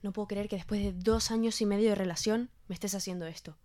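A woman's voice: medium pitch, steady tone, quick pace, dark tone, close to an everyday voice. A woman acting a wounded, indignant girlfriend in a naturalistic audio drama, reproachful and direct. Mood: hurt, indignant.

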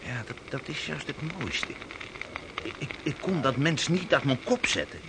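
Halting sailor spinning yarns, noisy recording. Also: male voice, medium pitch, big pitch swings. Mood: haunted, agitated. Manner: halting, stammering storyteller. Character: rough sailor telling an eerie witch tale, growing dizzy. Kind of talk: Dutch radio drama acting. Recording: noisy radio drama scene.

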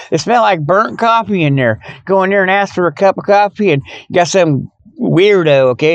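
A man's voice: on the high side, no room sound. A man hosting a rambling, casual show from his parked truck in a folksy, jokey, exclamatory way. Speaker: podcast host. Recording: dull, muffled lo-fi audio.

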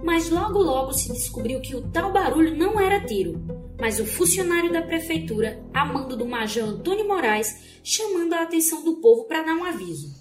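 A woman's voice: very high voice, big pitch swings, a little fast, roomy sound, over music. A woman narrating a scripted radio drama as a storyteller.

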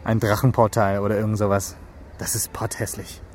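An actor playing an easygoing, impatient young ex-cop in a radio drama, talking casually with dry humour. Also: male voice, fairly low pitch, little pitch movement, brisk, a little hiss or hum, natural voice. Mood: relaxed, friendly. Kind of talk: naturalistic radio drama dialogue.

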